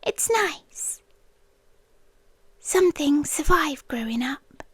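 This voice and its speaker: A wistful forest being in the shape of a devoted girlfriend , acted by a woman, with a high British voice. She talks extremely slowly and intimately, often dropping into whispers. It is an ASMR roleplay, close and dry on the microphone. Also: lively intonation, no room sound. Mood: tender, forgiving. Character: wistful, devoted inhuman childhood friend from the forest, yandere girlfriend. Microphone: close, dry studio-style microphone.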